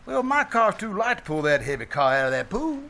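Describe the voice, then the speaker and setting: Man playing high-pitched, animated, exasperated radio husband. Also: fairly quick, gravelly, full character voice. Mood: scheming. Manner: folksy, wisecracking. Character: exasperated, sarcastic bandleader husband in radio sitcom. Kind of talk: 1940s radio sitcom acting, live stage re-creation. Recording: live theater stage, faint noise.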